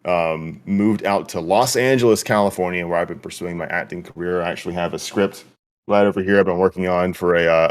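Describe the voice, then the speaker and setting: A young man talking casually as a guest, easygoing and chatty. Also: low-pitched. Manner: easygoing, chatty with light laughs. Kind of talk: casual chat.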